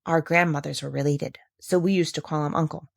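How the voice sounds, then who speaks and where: A woman's voice: low voice, fairly even intonation, fairly quick, gravelly, dry recording, clean studio sound, voice pushed down. A woman voicing a self-interested, knowing young village woman in a storybook, firm and matter-of-fact. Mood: recounting. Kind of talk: storybook character reading.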